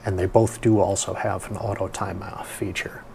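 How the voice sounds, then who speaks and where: A man giving a casual hands-on product review, explaining things step by step in an unhurried way. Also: sits low, little pitch movement, vocal fry, warm tone, a little hiss or hum.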